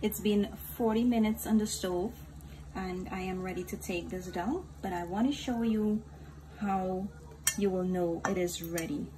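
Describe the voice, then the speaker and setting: A woman with a Guyanese accent hosting a home cooking tutorial, giving calm step-by-step instructions and often addressing viewers as "guys". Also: mid-range voice, unhurried. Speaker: cooking channel host. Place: home kitchen. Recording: home recording with audible kitchen noise. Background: dishes, pots and kitchen clatter.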